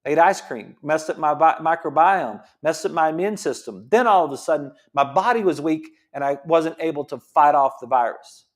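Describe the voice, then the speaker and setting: A man's voice: fairly high pitch, normal speed, few pauses. Rambling chiropractor talking health, man. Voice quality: rambling, many restarts. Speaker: chiropractor host. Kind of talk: casual health talk monologue.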